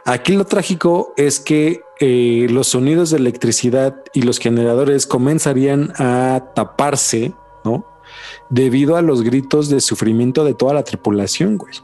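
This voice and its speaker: A man's voice: mid-range voice, moderate pace, faint music behind. A young Mexican man co-hosting a podcast, telling the story casually with lots of slang and filler. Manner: slangy, says güey constantly, animated storytelling. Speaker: podcast co-host. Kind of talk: casual chat.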